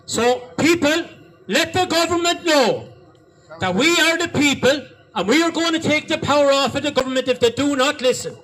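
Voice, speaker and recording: An Irish man with a high voice speaks to a protest crowd. His speech is rousing and repetitive. Faint street noise sits behind him. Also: expressive, moderate pace. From Ireland. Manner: rousing, repetitive, rising to shouts. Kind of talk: public address to a crowd.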